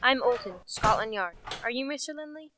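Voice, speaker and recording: A young woman acting as a brisk, sceptical police inspector in an amateur radio play. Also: on the high side, animated, bright, forceful tone, slight background noise. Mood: probing. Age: teenager to young adult. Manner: brisk, dismissive. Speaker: actor.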